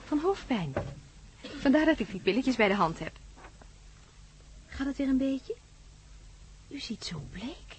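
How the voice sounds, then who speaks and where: A woman acting in a radio drama as a polite, solicitous librarian with a gentle, helpful manner. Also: high voice, sing-song, measured pace, long pauses, a little hiss or hum. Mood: concerned.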